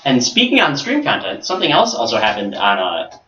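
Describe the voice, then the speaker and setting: Lively, fast-talking man co-hosting. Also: mid-range voice, a little hiss or hum. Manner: steers topics, asks questions. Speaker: podcast co-host. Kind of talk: casual podcast chat. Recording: roomy, distant, dull mic.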